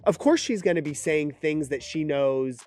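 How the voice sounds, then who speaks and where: A man's voice: on the high side, normal speed, darkish tone. A man in casual, slangy conversation as a commentator, talking emphatically about a case. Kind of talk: casual chat about a trial.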